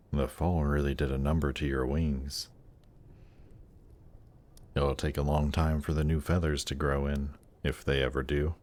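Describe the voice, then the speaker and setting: A man playing a suave, patient, seductive demon prince in a fantasy roleplay audio drama, speaking in a teasing, coaxing, measured way. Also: a bass voice, darkish tone. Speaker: voice actor. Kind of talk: slow, intimate roleplay audio drama performance.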